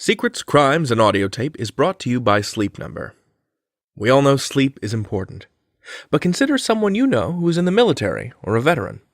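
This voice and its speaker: A male announcer delivers a scripted sponsor message. His medium-pitched voice moves with lively intonation, and the sound is dry and close. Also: brisk, dry recording. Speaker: advertisement announcer.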